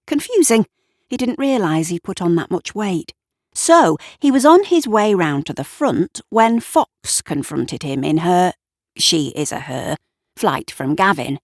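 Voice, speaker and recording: A woman narrating a scripted story in the present tense, measured and wry, with deliberate pauses. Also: medium pitch, sing-song, no room sound, clean studio sound.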